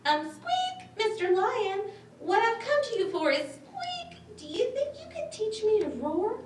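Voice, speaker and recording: A woman voicing a tiny, timid, kind male mouse in a squeaky, hesitant, polite storybook voice. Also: big pitch swings, measured pace, exaggerated voice. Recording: roomy, distant mic, faint noise.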